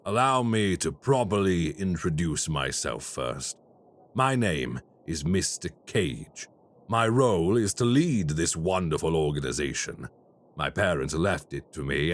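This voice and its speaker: An actor playing a polite, quietly menacing male crime boss in an audio roleplay. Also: rumbling low, exaggerated voice. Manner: slow, courteous and quietly menacing.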